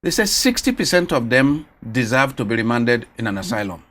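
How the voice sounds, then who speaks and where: Measured Nigerian male interviewer. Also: mid-range voice, sing-song, fairly bright tone. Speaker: interviewer, host. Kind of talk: interview questions. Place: studio.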